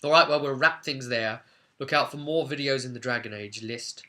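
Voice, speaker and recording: A man's voice: medium pitch, normal speed, pushed and bright. A man giving an informal tutorial as a game master, conversational and stressing key words.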